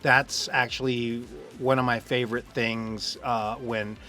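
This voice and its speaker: A man's voice: medium pitch, little pitch movement, measured pace, pushed and bright, faint music behind. A man interviewing someone in a casual, chatty way, effusive and encouraging.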